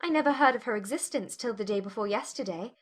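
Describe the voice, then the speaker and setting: A woman playing a witty, forthright young Regency gentlewoman in a dramatized audiobook. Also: on the high side, quick pace, natural voice. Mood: curious.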